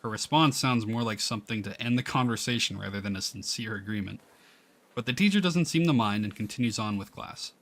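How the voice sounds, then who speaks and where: A man's voice: fairly low pitch, brisk, fairly bright tone. A man streaming a visual novel, reading its text aloud with casual asides and often stumbling and rereading lines. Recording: music playing behind the voice. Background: game background music.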